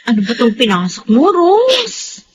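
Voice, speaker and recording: A woman's voice: high-pitched, huge pitch swings, unhurried, lo-fi sound, exaggerated voice. A woman playing a frank, alarmed confidante who exclaims and reproaches in a Filipino audio melodrama. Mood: worried, reproachful. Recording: faint background noise.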